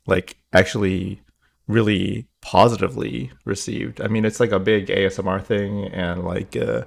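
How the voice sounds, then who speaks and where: A man hosting a podcast in a relaxed, chatty way. His voice is fairly low and has a gravelly edge. He talks loosely and fills his sentences with "like" and "um.". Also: normal speed. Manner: loose, many fillers like "like". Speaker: podcast host. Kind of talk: casual chat.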